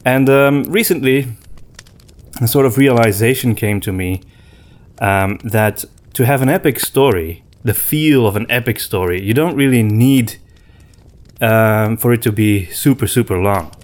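A man co-hosting a podcast in casual conversation, reflective and often pausing to search for his words. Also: medium pitch, a little mellow. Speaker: podcast co-host.

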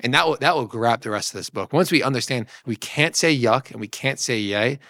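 A man's voice: mid-range voice, expressive, a little fast, a bit bright, no echo. Man co-hosting a discussion, dry close sound. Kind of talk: conversational discussion of a book.

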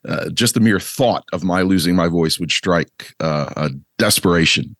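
A male podcast host with a low voice, close to losing it to allergies. He talks in a halting, self-mocking way, full of restarts. The sound is dry and close. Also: creaky, fairly bright tone, no echo. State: on the verge of losing his voice from allergies. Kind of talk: casual podcast interview.

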